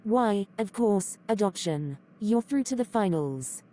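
A woman's voice: mid-range voice, expressive, unhurried, dark tone. A woman voicing an upbeat pageant host in a fan-made cartoon. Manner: upbeat, announcing. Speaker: contest host.

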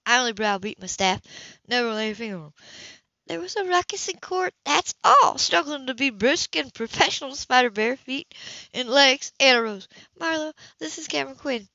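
A woman's voice: high voice, animated, normal speed, bright, forceful tone, no room sound, muffled recording. A woman reading a romance novel aloud as an amateur narrator, stumbling and restarting now and then. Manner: reads with frequent slips and restarts. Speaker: audiobook reader.

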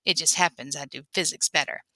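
A woman reading a memoir aloud in an expressive storytelling way. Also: sits low, brisk, no room sound, poor audio. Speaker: reader.

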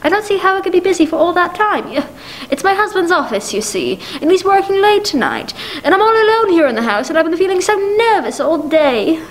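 A woman's voice: extremely high pitch, very animated, a little fast. A woman playing a nervous, indignant, imperious housewife who stammers insistently, in 1940s radio play style. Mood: anxious, impatient. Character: nervous, demanding, indignant wealthy housewife alone at night. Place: dark stage, masked, speaking into prop telephone.

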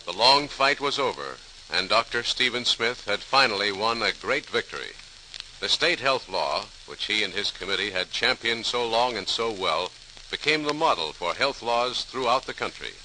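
Very bright, forceful American radio narrator, male. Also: on the low side, expressive. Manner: formal, dramatic, measured phrasing. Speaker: announcer and narrator. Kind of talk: 1940s radio scripted narration. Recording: hissy, crackly 1940s radio recording.

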